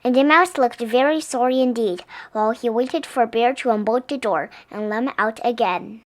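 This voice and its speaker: A woman's voice: fairly high pitch, moderate pace. A woman reading a picture book aloud expressively for young children. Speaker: storybook reader.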